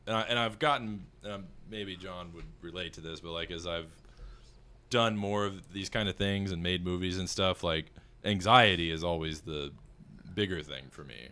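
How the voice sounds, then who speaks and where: Big-voiced guy in his 30s, really low and bright, rambles and restarts a lot, casual podcast chat. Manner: rambling, halting, with frequent restarts. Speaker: filmmaker guest.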